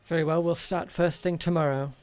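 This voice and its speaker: A man voicing a stern female weapons trainer in a tabletop game, speaking in terse, measured, matter-of-fact sentences. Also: fairly high pitch, steady tone, a little mellow, smooth, faint music behind, natural voice. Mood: stern, instructive.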